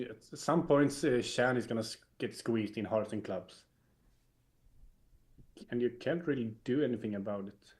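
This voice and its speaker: A man's voice: fairly low pitch, a little mellow. Swedish man explaining bridge play. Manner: thoughtful, explanatory. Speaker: bridge expert co-commentator. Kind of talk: analytical live commentary.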